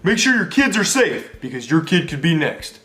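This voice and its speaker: A man playing an excitable male breaking-news anchor in a comedy skit, delivering mock-serious bulletins with drawn-out words. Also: fairly high pitch. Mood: mock urgent. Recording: roomy echo with faint music.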